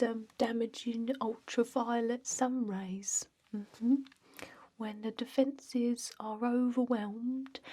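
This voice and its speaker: Slow, whispering deadpan energy vampire played by a woman. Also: on the high side. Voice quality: soft, breathy, often whispered. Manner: halting, monotone, hesitant, deadpan. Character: bored, droning female energy vampire office clerk named Evie. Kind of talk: scripted ASMR roleplay.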